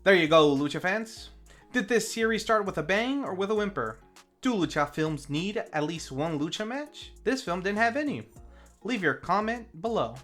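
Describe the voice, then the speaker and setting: Review show narrator of unclear gender, mid pitch, animated intonation, casual and playful scripted recap, faint music underneath. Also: moderate pace. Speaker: film review host.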